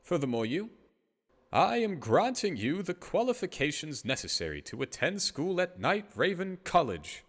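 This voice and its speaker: A man's voice: on the high side, very animated, moderate pace. A man playing a pompous, self-congratulating magic school headmaster, formal and melodramatic, in an anime fandub. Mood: self-satisfied.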